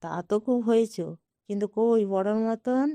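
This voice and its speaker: A woman's voice: on the high side, steady tone, moderate pace, dark tone, dry recording, natural voice. A woman playing a fond middle-aged uncle in a storybook, speaking in an indulgent, teasing manner to his niece.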